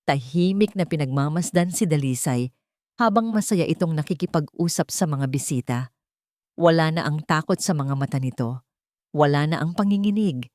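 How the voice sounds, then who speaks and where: A woman's voice: deep voice, a little mellow, no echo, clean studio sound. A woman reading a scripted dramatic story as its narrator.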